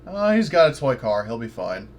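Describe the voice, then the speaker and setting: A man's voice: mid-range voice, sing-song, normal speed, a little hiss or hum. A man chatting casually as a player in a tabletop roleplaying game.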